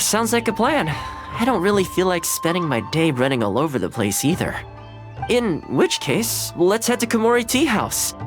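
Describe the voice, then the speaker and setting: Easygoing young housekeeper, lively intonation. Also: male voice, high voice, moderate pace, music in the background. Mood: easygoing, friendly. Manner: casual, courteous, modest, expressive. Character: friendly, easygoing young male housekeeper and attendant. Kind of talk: English video game voice acting.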